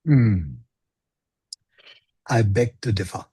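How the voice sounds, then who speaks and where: A man's voice: mid-range voice, slow pace, warm tone, slightly rough. A man co-hosting a casual review chat, disagreeing in short, contrarian remarks. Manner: terse, contrarian. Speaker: podcast co-host, dissenting reviewer.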